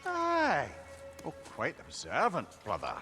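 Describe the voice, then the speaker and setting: An actor playing a wry, diplomatic severed head in a video game, placating and courteous. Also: male voice, a little high, huge pitch swings, takes it slowly, full character voice. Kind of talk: dramatic video game acting. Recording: loud music behind the voice.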